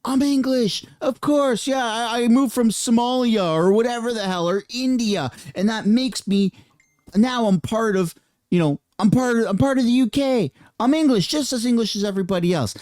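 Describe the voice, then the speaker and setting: A man's voice: very high-pitched, normal speed, darkish tone, dry recording, clean studio sound, pitched above the performer's own voice. A man putting on the voice of a male newcomer who insists he is English, in a whiny, sing-song mockery. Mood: mocking.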